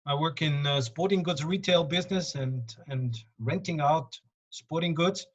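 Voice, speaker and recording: Dull lo-fi call audio, man, Austrian German accent, husky, slightly high pitch, self-introduction. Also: a little slow. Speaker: participant, retail businessman.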